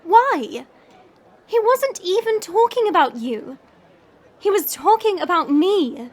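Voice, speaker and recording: A woman's voice: very high-pitched, expressive, slow, heavily acted. A woman playing a proud, guarded dragon princess in human form, speaking in a formal, imperious way in an audio fantasy drama. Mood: puzzled.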